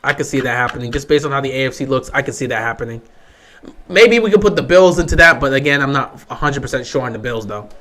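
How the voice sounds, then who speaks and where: A young man with a sore, hoarse voice, giving casual live commentary on a football game he is streaming, resigned and frustrated. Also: medium pitch, animated, fast, fairly bright tone, slight background noise. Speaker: livestream host.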